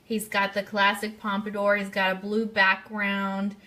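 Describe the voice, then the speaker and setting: A woman with a bright, forward voice hosts a live radio stream. She talks in a chatty, casual way, putting questions straight to her listeners as a quiz host. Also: mid-range voice, monotone, a little slow. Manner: chatty, interactive, addresses listeners directly.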